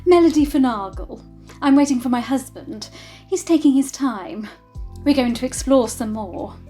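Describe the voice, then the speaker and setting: A woman playing a high-voiced, poised titled lady. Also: expressive, moderate pace, dark tone, faint music behind. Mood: cool, curious. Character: poised, sociable titled lady, coolly polite. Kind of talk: audio drama acting.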